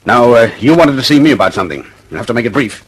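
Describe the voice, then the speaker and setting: Brusque, husky British official, fast-talking. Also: male voice, medium pitch, expressive, rough recording. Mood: gruff, businesslike. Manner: barking, clipped, commanding. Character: brusque, impatient, powerful older British male government official. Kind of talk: mid-century radio drama acting.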